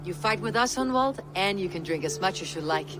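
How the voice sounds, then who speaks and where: A man's voice: sits high, brisk, over music. A man playing a blunt, wry Viking warrior chieftain in a video game cutscene, speaking steadily and with confidence. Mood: warm. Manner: steady, declarative, dryly wry.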